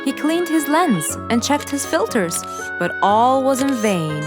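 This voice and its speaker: A woman's voice: high-pitched, big pitch swings, unhurried. A woman narrating a scripted story for children. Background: loud music.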